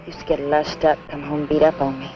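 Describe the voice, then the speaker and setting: A woman's voice: sits low, little pitch movement, brisk, close to an everyday voice. A woman playing a world-weary, flirtatious backwoods wife with an American accent, in 1950s B-movie style. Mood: weary, confiding. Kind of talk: 1950s B-movie film acting. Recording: muffled old film soundtrack with music.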